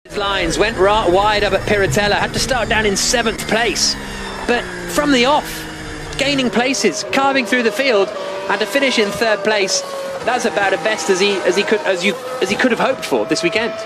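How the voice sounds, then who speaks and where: High-pitched excitable male race commentator. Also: normal speed. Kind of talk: live motor racing commentary. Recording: loud engine noise behind. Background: racing cars and engine roar.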